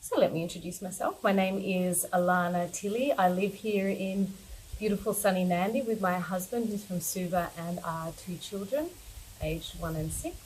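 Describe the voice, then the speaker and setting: A woman in her thirties with an Australian accent, a yoga teacher speaking gently and earnestly in a personal message, with soft fillers. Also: on the low side, normal speed, a little hiss or hum, low-quality recording.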